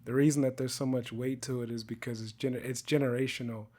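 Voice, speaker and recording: A man hosting a podcast interview. He talks in an earnest, casual way, fills his speech with "like", and is quick to affirm and laugh along. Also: mid-range voice, flat delivery, dark tone. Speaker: podcast host.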